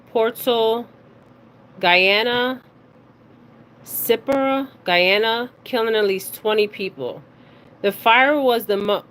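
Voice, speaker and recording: A woman hosting a casual spiritual reading, speaking haltingly, stumbling over words and often checking in with her listeners. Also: mid-range voice, a bit bright. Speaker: spiritual reader and card reader hosting her own video. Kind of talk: casual psychic reading, reading news details aloud. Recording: clearly noisy recording with background ambience.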